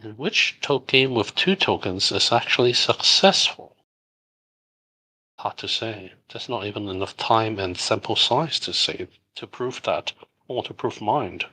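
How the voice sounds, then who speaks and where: A middle-aged man from Hong Kong, a native Cantonese speaker, answers questions in a loose, halting way, full of fillers and restarts. His voice sits a little low but sounds bright and forward. It has a husky, slightly rough edge. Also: animated, rough recording. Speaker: game studio founder answering community questions. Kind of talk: casual AMA, reading and answering questions.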